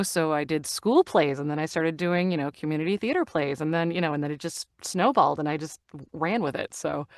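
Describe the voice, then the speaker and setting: A woman's voice: low voice, dry recording, studio quality. A woman in her forties, an actor and narrator, chatting openly in an interview and laughing often. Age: 40s. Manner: laughs often, animated and open. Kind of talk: casual interview chat.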